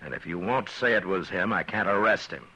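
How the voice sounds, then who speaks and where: A deep-voiced calm frontier marshal. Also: male voice, fairly quick, slightly rough, dull audio, exaggerated voice. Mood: steady. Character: steady, calm frontier marshal, middle-aged. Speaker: marshal and narrator. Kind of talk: 1950s radio drama acting. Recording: old radio broadcast with faint music.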